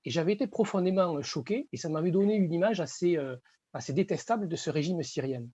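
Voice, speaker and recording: A man's voice: on the high side, fast talker, warm tone, husky, no echo, dull audio. A man from the audience, hesitant and polite, asking questions during a film discussion. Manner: hesitant, polite, many fillers.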